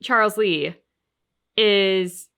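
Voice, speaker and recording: A woman's voice: medium pitch, very slow, clear, resonant tone, narrow, tinny audio. A woman hosting a reaction show, giving casual analysis in an emphatic, opinionated way and reasoning aloud through rhetorical questions.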